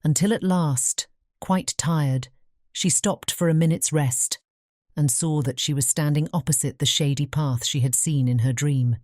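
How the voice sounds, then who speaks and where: Low, mellow woman narrating a fairy tale. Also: a little slow, no echo, clean studio sound. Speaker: audiobook narrator.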